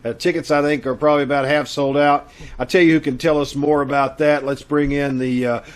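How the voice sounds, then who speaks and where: A man's voice: fairly high pitch, little pitch movement, a little fast. Folksy man hosting a chat show. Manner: folksy, chatty. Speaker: host. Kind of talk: casual welcome and announcements on a video call show. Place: video call.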